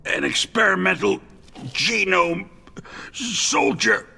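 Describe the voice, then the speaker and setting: A man's voice: high voice, animated, slow, creaky, sharp tone, slight background noise, full character voice. A man playing a scornful, wounded American arms company president in a video game, speaking in a labored, condescending way. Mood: resigned, pained. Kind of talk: video game cutscene acting.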